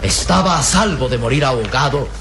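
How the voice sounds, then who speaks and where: A man narrating an adventure radio serial in a dramatic, measured way. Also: mid-range voice, animated, creaky, background noise.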